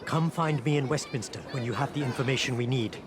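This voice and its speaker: A man's voice: medium pitch, quick pace, natural voice. A man playing an exiled prince in a video game, with a formal, courteous, earnest way of speaking. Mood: earnest, scheming. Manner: formal, courteous, well-spoken. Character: exiled Indian prince, polite, refined, earnest, idealistic. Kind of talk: video game cutscene acting. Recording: loud outdoor city and vehicle noise behind.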